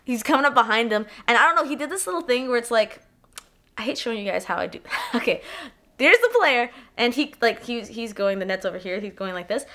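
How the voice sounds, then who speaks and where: A young woman with a stuffy cold, casually reviewing a hockey game in an excitable, swearing, animated way. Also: a little high, brisk. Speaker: hockey fan vlogger.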